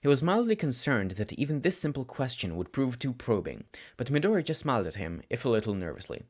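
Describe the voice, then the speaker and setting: A man reading scripted story narration aloud, audiobook style, with expressive, dramatic phrasing. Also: medium pitch, a little fast. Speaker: audiobook narrator of fan fiction.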